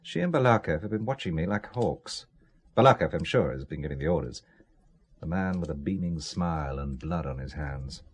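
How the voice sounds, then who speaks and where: A man's voice: a bass voice, lively intonation, normal speed, husky, exaggerated voice. A man playing an anxious but wry bridegroom in a radio spy thriller. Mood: tense, confiding. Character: anxious, wry English bridegroom caught up in espionage. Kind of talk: radio spy drama acting.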